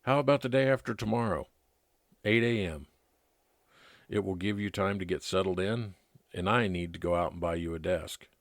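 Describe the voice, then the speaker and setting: A man playing a calm, kindly private investigator who narrates his own case in measured old-time radio detective style. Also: low voice, no room sound, studio quality. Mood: reassuring. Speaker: narrator and lead actor.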